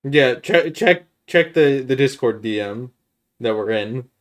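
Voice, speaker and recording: A man's voice: mid-range voice, expressive, unhurried. A man co-hosting a podcast, talking casually and giving blunt, critical opinions. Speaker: podcast co-host. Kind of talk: casual opinionated chat about films.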